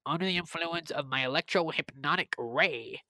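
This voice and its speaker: A woman playing a kindly, absorbed scientist in an old-time radio drama, speaking in a halting, soothing way. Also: low voice, bright, forceful tone, dry recording, low-quality recording. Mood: reassuring, proud.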